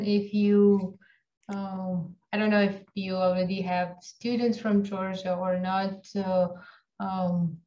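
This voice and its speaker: Slow, flat-voiced woman on a call. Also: medium pitch. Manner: hesitant, many fillers. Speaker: seminar participant. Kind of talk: online discussion. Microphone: video call. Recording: roomy dull video call.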